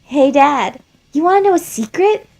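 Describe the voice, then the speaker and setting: A woman's voice: high voice, expressive, moderate pace, vocal fry, darkish tone, voice pushed up. A woman voicing a boyish talking flower in a fan fiction reading, a cruel son with a taunting, confiding manner. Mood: gleeful, taunting.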